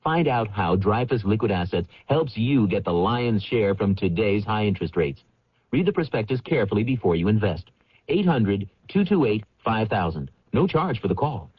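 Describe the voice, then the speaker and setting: Husky, lowish-voiced male commercial announcer. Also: very animated, normal speed, no echo. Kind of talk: scripted financial radio advertisement. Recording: dull vintage radio commercial.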